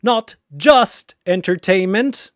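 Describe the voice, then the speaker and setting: A man's voice: high-pitched, slow, no echo, studio quality, voice pushed up. A man voicing a cheerful, boastful cartoon robot cat built to entertain, with stilted, sing-song delivery.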